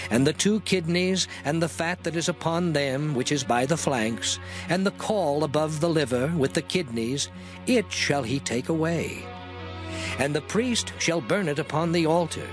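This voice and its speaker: An actor playing a solemn lawgiving voice in a dramatized Bible reading, declaiming scripture. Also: male voice, on the high side. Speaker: scripture reader. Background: music under the voice.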